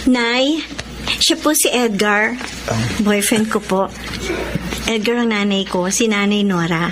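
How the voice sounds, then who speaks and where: An actress playing an excitable, easily hurt student daughter in an emotional Filipino radio drama. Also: female voice, mid-range voice, takes it slowly, music in the background, lightly acted. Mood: polite. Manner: emotional, melodramatic.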